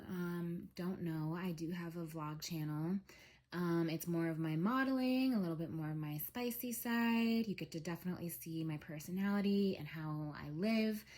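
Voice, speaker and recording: A young woman with a head cold, congested and a little scratchy, giving a relaxed spiritual reading monologue with many ums. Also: fairly low pitch. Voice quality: scratchy throat. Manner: slow, soothing, with frequent um fillers. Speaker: tarot reader.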